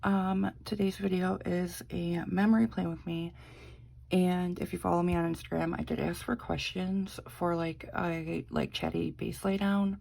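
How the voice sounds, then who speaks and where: A woman's voice: on the low side, level tone, a little slow, creaky, a little mellow. A young woman, sick and congested, chatting casually with lots of fillers while doing a planner vlog. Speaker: planner vlogger. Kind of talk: casual chat.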